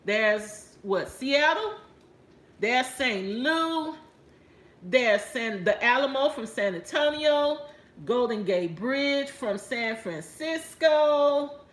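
A woman's voice: sits high, animated, takes it slowly, reverberant. A middle-aged woman with a Texan accent, chatting casually to her viewers about a handbag she found, sitting on a couch at home. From Texas. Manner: chatty and playful, addresses viewers as y'all. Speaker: handbag haul vlogger. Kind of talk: casual haul video talking to viewers. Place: living room couch at home. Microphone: camera or phone on a stand, close framing.

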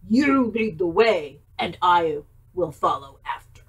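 A woman voicing a big, scary male monster in a storybook, with a gruff, booming manner. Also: animated, takes it slowly, fairly bright tone, recorded from a distance, heavily acted, rough recording.

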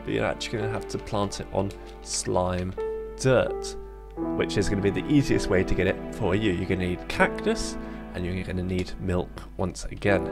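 A man narrating a casual gaming tutorial as its host, instructing informally step by step. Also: on the low side. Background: background music.

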